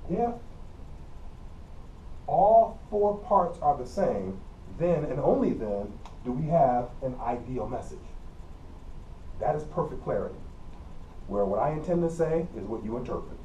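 A man's voice: mid-range voice, dark tone. Midwestern male instructor, roomy and distant. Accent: Midwestern American. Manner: plain, repetitive teacherly explaining with frequent coughs. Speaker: college communication instructor. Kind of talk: recorded classroom lecture. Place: empty classroom with whiteboard and air conditioner. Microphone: camera mic from across the room. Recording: roomy, distant camera audio with faint hum.

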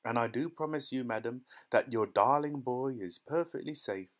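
Bloke doing an eccentric gleeful chocolate maker from a storybook, breezy, emphatic, very theatrical. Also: male voice, mid-range voice, normal speed, natural voice. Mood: amused. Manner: emphatic, blithely reassuring, theatrical. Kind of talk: children's storybook character voice.

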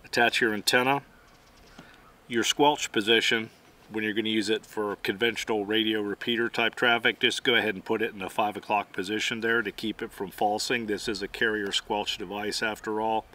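A man's voice: fairly low pitch, steady tone, moderate pace, bright, forceful tone. A middle-aged man giving methodical, plainspoken how-to instruction outdoors as he demonstrates gear. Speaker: host and demonstrator.

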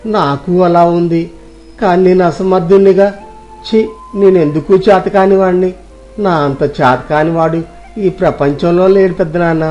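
A gloomy young village nephew, acted by a man, plaintive and full of self-doubt. The voice is very high for a male and darkly mellow. The story recording is dull, with faint music behind. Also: normal speed, close to an everyday voice. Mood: self-pitying. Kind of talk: Telugu moral story audio drama.

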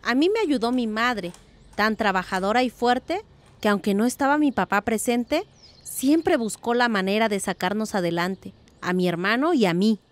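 A woman's voice: on the high side, expressive, moderate pace, lightly acted. A woman playing a thoughtful, determined middle-aged village aunt in a radio drama, speaking earnestly and reflectively.

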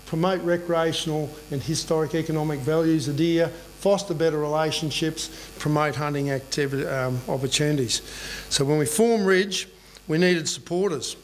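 A man's voice: a little high, slight background noise, poor audio. A middle-aged Australian man giving a conference presentation in a plain, conversational way, often checking in with the room. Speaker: conference speaker. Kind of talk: presentation talk. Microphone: boom mic on a lectern stand.

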